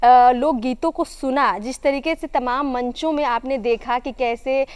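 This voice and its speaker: A woman's voice: high-pitched, unhurried, a little mellow, smooth. A woman hosting a broadcast interview, speaking in effusive, flowery phrases. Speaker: TV interview host.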